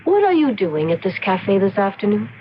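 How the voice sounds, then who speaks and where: Woman acting mysterious young temptress, coy and knowing, mid-pitched, old radio drama, faint noise. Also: very animated, moderate pace, natural voice. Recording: dull, noisy old radio recording.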